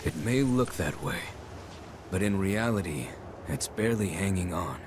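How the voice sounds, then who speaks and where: Game warrior: young, reserved, low somber voice over audible ambient noise. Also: male voice, expressive, moderate pace. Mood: grave. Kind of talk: cinematic video game acting.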